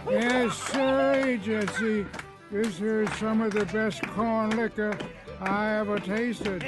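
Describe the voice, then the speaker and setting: An actor playing a rough, boastful backwoods drinker in a radio drama. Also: male voice, high-pitched, steady tone, slow pace, loud music behind the voice. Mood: relishing.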